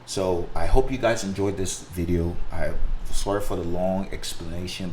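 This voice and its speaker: A man with a very deep voice and a Nigerian accent, a native Igbo speaker, chats off the cuff to his viewers. His delivery is rambling and hesitant, and there is some faint noise in the recording. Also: a little mellow. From Africa. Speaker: sim racing video creator and driver. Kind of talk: casual, unscripted explanation to viewers.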